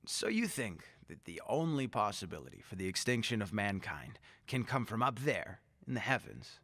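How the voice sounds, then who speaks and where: A man playing a murderous, mockingly courteous dictator in a radio drama, theatrical and taunting with drawn-out emphasis. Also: fairly low pitch, big pitch swings, a bit bright, heavily acted.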